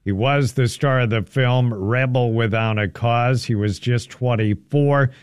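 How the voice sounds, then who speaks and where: A man's voice: mid-range voice, measured pace, clean tone, dry recording, clean studio sound. A man hosting a local radio show, chatting easily and running a call-in trivia game. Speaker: radio host. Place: radio studio.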